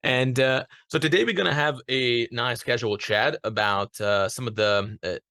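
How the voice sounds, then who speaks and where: A man's voice: medium pitch, moderate pace, creaky, pushed and bright, no echo, clean studio sound. A man from Hungary hosting a casual interview podcast, speaking with frequent hesitations and fillers. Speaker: podcast host.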